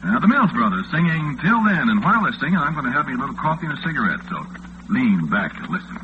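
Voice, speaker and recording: A man playing a chatty, folksy overnight radio disc jockey with an American accent, in a casual, halting old-time radio drama style. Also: mid-range voice, big pitch swings, lightly acted. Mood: folksy, inviting. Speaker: late-night radio host. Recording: muffled, noisy vintage radio recording.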